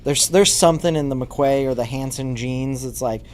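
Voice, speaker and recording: A man's voice: medium pitch, normal speed, a little mellow. Forty-ish male pastor, casual storyteller, faint room noise. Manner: conversational, storytelling, self-deprecating. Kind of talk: casual chat.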